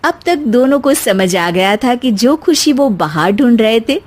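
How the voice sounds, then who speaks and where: A woman's voice: fairly high pitch, lively intonation, a little slow, darkish tone. A woman storyteller reading scripted narration for a cartoon moral tale.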